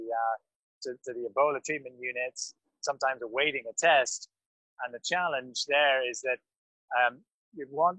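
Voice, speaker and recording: Male doctor guest recounting field work, even and measured, forceful bright voice, close dry mic. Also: medium pitch, no room sound, poor audio. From grew up in West Africa. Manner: calm, measured storytelling with fillers. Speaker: pediatric doctor. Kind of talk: podcast interview, recounting experience.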